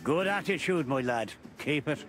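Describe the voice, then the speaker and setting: A man's voice: on the high side, lively intonation, normal speed, music in the background, close to an everyday voice. An actor playing a jovial, sardonic male informant in a noir detective game. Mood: sardonic cheer. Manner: jovial, sardonic, turns of phrase like "so it is". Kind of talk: noir adventure game voice acting.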